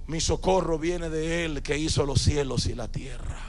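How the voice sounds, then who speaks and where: A man's voice: on the high side, normal speed. A man in his thirties preaching emphatically to a congregation through a handheld microphone, often repeating his phrases. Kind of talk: charismatic church sermon. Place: large church auditorium, on stage. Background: music playing behind the voice.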